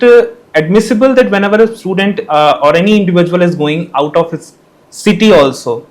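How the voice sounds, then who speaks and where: A man's voice: a little high, poor audio. A young man hosting a student panel, politely thanking and prompting each guest. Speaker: podcast host.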